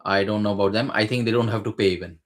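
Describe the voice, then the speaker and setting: Man, lower register, hesitant conversational explainer. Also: fairly even intonation, racing. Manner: hesitant, many fillers and restarts. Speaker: guest sharing exam experience.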